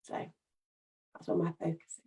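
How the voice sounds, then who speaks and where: A woman introducing her coaching and tech businesses in a casual online meeting, speaking in a measured way over a video call. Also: dark tone. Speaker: business owner and life coach.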